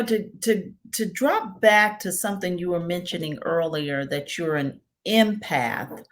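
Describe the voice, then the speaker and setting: A woman hosting a podcast interview asks her questions in a very low voice at an unhurried pace. She listens attentively and murmurs agreement often. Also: fairly bright tone. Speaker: podcast host.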